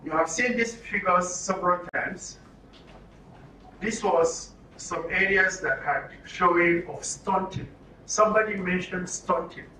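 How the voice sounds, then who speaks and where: A man's voice: high-pitched, a little slow, sharp tone. Man, Nigerian accent, facilitator, questioning lecture style, distant mic, roomy echo. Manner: rhetorical questioning, repeats answers back. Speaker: facilitator leading a training session. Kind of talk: interactive lecture, questioning the audience. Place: indoor training room with audience. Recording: echoey room, distant mic, faint noise.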